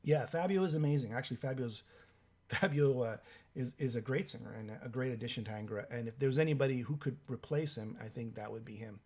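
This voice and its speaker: A hoarse man with a cold hosts a live stream, reading out viewer comments in a halting way with many fillers. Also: mid-range voice, a little mellow. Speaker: livestream host.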